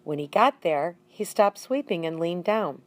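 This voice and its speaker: A woman reading a children's story aloud in an expressive, deliberate narrating style. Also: lowish voice, dry recording. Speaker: audiobook reader.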